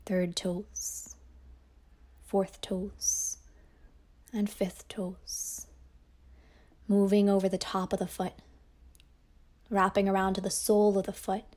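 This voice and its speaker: A woman teacher guiding a yoga nidra meditation in a slow, soft voice that often drops toward a whisper. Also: medium pitch, flat delivery. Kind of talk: guided meditation.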